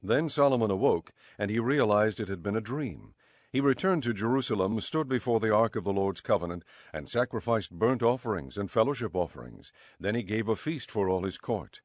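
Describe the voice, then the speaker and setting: A man narrating an audio Bible in a measured, formal storytelling style. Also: on the low side, lively intonation, no echo, clean studio sound.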